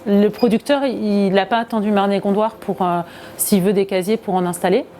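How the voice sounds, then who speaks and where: A woman's voice: mid-range voice, steady tone, normal speed, mellow, a little hiss or hum. A woman, speaking as a local project representative in an explanatory interview.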